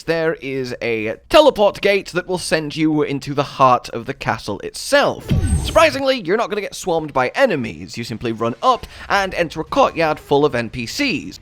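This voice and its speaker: A man with a fairly high, very lively voice narrates a video game guide. He leans hard on key words with dramatic emphasis, swinging widely in pitch as he walks through the steps. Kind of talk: scripted game walkthrough narration.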